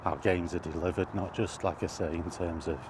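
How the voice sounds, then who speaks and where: A British man giving rambling, casual commentary while walking outdoors, halting and thinking aloud as he goes. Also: low-pitched, steady tone. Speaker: video host. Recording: outdoor ambient noise throughout.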